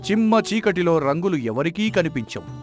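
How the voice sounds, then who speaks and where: A man playing a wise blind king in a folk tale, questioning deliberately with pointed sarcasm. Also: high-pitched, very animated, faint music behind. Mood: sarcastic, accusing. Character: wise blind king, shrewd and righteous father. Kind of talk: dramatised Telugu folk tale acting.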